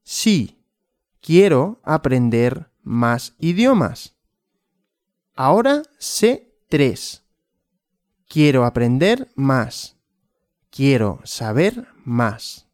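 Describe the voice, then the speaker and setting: A man giving a slow language lesson, asking simple questions and answering them himself in a deliberate, repetitive way. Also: medium pitch, sing-song, a little mellow, no echo, clean studio sound. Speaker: Spanish teacher.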